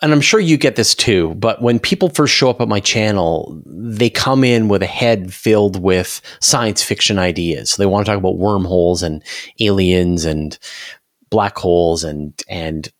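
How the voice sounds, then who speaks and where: A man talking casually as an interview guest, explaining things in an animated, emphatic way. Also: sits low, moderate pace, no room sound, clean studio sound. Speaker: interview guest, space channel creator.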